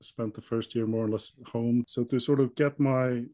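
A man from Iceland in his thirties talks through his story in an even, level tone. He often stops with ums and starts over. His voice sounds soft and mellow, and the sound is dry and close. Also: medium pitch, normal speed, no echo. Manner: hesitant, many ums and restarts. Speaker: guest. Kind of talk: conversational interview answers.

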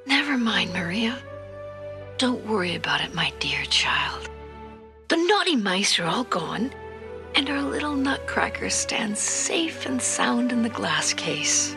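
A woman voicing a soft, gentle mother. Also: fairly high pitch, big pitch swings, moderate pace, music in the background. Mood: soothing. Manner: soft and reassuring.

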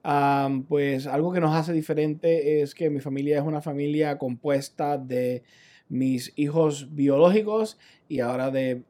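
Male pastor, mellow tone, unhurried and thoughtful, lots of fillers. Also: on the high side, fairly even intonation. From Puerto Rico. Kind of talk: casual podcast conversation.

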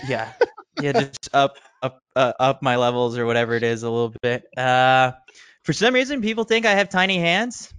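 A man speaking as a guest on a running podcast, relaxed and rambling, with many fillers and restarts. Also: mid-range voice, moderate pace, clean tone, dry recording. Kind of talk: casual podcast chat.

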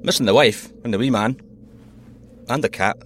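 A man's voice: medium pitch, moderate pace, a little hiss or hum, lightly acted. A man playing a wry, homesick Scottish astronaut and diver in a naturalistic audio drama, talking colloquially. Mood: wistful. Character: homesick, joking Scottish astronaut and deep-sea diver, male.

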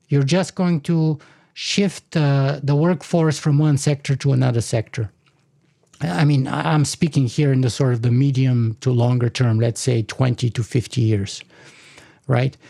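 A man's voice: medium pitch, unhurried, gravelly, warm tone, no echo, studio quality. A man, making an argument in a conversational podcast discussion in a lecturing way, often restarting his sentences. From Bulgaria. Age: 40s.

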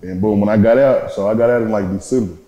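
A man's voice: quick pace, warm tone. A man, a rapper talking casually about his life in a laid-back, slangy way. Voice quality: deep voice. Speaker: rapper guest. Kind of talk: casual interview. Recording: echoey room.

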